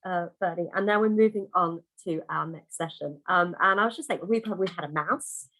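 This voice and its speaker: A woman hosts an online conference from a video call, gushing over the speakers and chatting freely. Her voice sits fairly low, and her talk is loose and full of restarts. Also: normal speed. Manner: warm, chatty, effusive, lots of fillers and restarts. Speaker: conference host.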